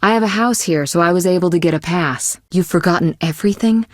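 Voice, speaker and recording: A woman acts out a poised young sorceress for a video game, her voice on the low side and recorded dry and close. She speaks in measured, articulate phrases, gently chiding. She sounds worldly and caring, with a teasing, possessive edge. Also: no echo, lightly acted. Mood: calm, measured. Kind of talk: scripted video game voice acting.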